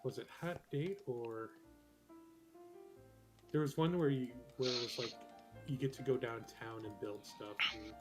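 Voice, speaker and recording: A man's voice: medium pitch, measured pace, long pauses, rough recording. A man chatting casually with friends while playing a building game, rambling with plenty of fillers. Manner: rambling, many fillers and restarts. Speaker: player on a game server. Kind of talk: casual chat while gaming. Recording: background game music clearly heard.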